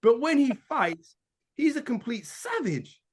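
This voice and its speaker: A man in a casual film chat, excitable and rambling, full of "like". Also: extremely high pitch, a little slow, breathy edge. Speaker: podcast guest.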